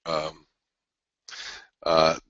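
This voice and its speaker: A man with a stuffy head cold and a raspy voice, hosting a trading webinar in a rambling, casual way with many restarts. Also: a bass voice, completely flat delivery, drawn-out words, a bit bright, no room sound, dull audio. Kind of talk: casual market analysis talk.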